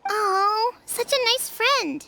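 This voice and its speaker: Very high-pitched, skeptical little kid. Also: female voice, animated, slow, vocal fry, bright, forceful tone, dry recording, exaggerated voice. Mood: puzzled. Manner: blunt, unimpressed, childlike. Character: small blunt, skeptical child. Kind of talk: video game voice acting. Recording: music behind the voice.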